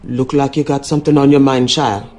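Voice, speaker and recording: An actor playing a canny, mysterious voodoo shopkeeper in a video game, folksy and unhurried, with a Southern US accent. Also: male voice, mid-range voice, little pitch movement, a little mellow, slight background noise, natural voice. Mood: welcoming. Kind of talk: video game character acting.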